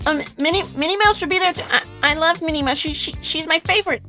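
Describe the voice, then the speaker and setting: Casting: stammering, incredulous female caller, high bright voice, chat call with faint music. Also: fast talker. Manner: stammering, incredulous, repeats words. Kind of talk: casual voice chat.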